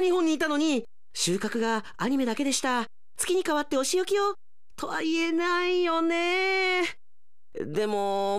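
A man's voice: very high voice, fairly bright tone, no room sound, voice pushed up, full character voice. A man playing a hapless, anime-obsessed alien agent in human disguise, muttering to himself melodramatically in a radio drama.

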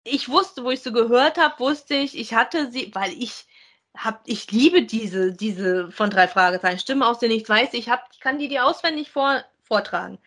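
A woman's voice: fairly high pitch, moderate pace, fairly bright tone. A woman chats casually as a podcast co-host, talking in an effusive, enthusiastic way like a devoted fan. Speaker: co-host of a podcast about radio plays. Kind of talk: casual conversational chat.

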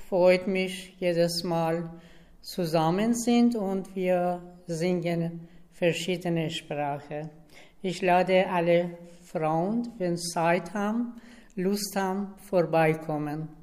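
A woman with a Persian accent speaks German in a halting way, stopping to find her words. Her voice is low for a woman. Her first language is Farsi. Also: a little mellow. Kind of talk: casual introduction.

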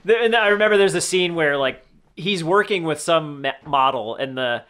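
A man co-hosting a podcast, chatting informally and haltingly about films, often repeating his words. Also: fairly high pitch, lively intonation, pushed and bright. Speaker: podcast co-host. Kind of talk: casual film discussion chat.

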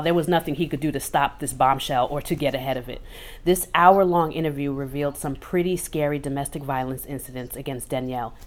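Low-voiced woman co-hosting a podcast. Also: a little mellow. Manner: conversational storytelling, emphatic asides. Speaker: podcast co-host. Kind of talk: casual podcast commentary.

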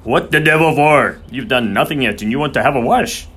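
A man's voice: fairly low pitch, expressive, a little fast, fairly bright tone, breathy edge. A man voicing a sneering, bad-tempered horse-keeper in an audiobook, snarling and sarcastic. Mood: scornful, impatient.

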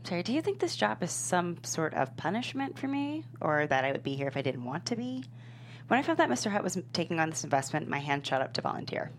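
A woman playing a confident, upbeat young sports management professional at a comedy table read, brisk and wry. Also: on the low side, sing-song, slight background noise. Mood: assured, wry.

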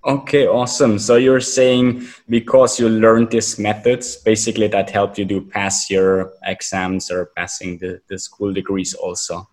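A man's voice: fairly low pitch, little pitch movement, unhurried, few pauses, darkish tone. Rambling male interviewer. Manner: rambling questions with fillers. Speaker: interviewer, host. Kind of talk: interview questions.

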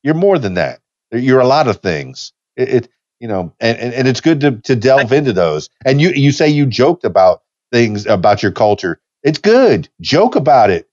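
A man's voice: medium pitch, very animated, moderate pace, a little mellow, no echo, lo-fi sound. A man in his fifties hosting a casual podcast chat, easygoing and rambling, with frequent restarts. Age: 50s. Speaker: podcast host.

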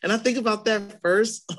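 A middle-aged woman with a hoarse voice co-hosts a relaxed podcast chat. Her pitch sits in the middle range, and she gets animated as she replays her own earlier reactions. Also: moderate pace. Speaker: podcast co-host. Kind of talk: casual chat.

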